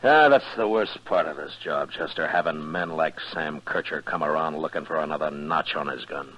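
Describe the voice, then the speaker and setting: A man's voice: a bass voice, moderate pace, fairly bright tone. An American man playing a weary, stern frontier marshal in a 1950s radio western, terse and plain-spoken. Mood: weary, resigned. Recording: dull lo-fi 1950s radio recording.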